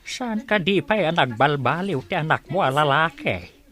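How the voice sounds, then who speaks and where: A man's voice: fairly high pitch, lively intonation, normal speed, sharp tone. A man playing an old, fretful, prayerful village father in an Ilocano radio drama, plaintive about his wayward son. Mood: troubled greeting.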